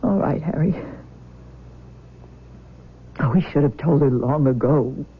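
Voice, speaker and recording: A woman's voice: sits low, slow pace, husky, full character voice. An actress playing an anxious, guilt-ridden middle-aged mother in a radio drama, hesitant and fretful in delivery. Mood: remorseful. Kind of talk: 1970s radio drama acting. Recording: thin phone-like old radio recording.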